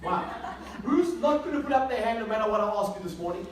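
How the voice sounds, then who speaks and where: High-pitched man in an echoey room. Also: animated, fast talker. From South Africa. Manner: emphatic, repeats key phrases, rhetorical questions. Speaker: motivational speaker. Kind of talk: motivational seminar talk to a live audience. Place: echoey indoor venue with an audience. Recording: echoey room, distant mic, faint noise.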